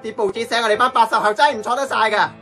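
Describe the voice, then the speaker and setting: An actor playing a tipsy, brash young man in a Hong Kong Cantonese radio drama, loud and rambling. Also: very high voice, very fast, faint music behind. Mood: bitter, self-mocking. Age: young adult.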